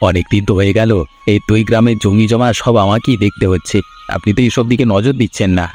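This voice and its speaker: A man's voice: fairly low pitch, fast, darkish tone, soft background music, natural voice. A man voicing a greedy, pushy younger village schemer in a cartoon fairy tale, insistent and needling. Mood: pushy, impatient.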